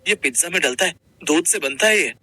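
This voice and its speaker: A man playing a simple, humble working husband, gentle, earnest and a little hesitant, in a Hindi moral-story cartoon. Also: a little high, lively intonation, a little fast, full character voice. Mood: pleased, gentle.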